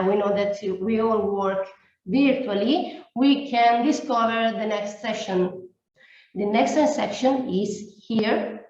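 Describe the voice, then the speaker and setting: Very echoey, slow, higher-voiced woman facilitator. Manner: non-native English phrasing. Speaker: meeting facilitator. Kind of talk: online workshop facilitation, explaining steps. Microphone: video call. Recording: very echoey, dull online call audio.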